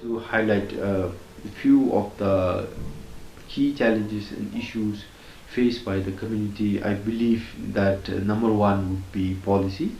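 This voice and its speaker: A man's voice: on the low side, fairly even intonation, a little slow, creaky, mellow, faint music behind. A man from Bhutan, a community advocate, laying out key issues hesitantly with many fillers. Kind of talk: spoken overview of issues. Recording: roomy echo, faint hiss.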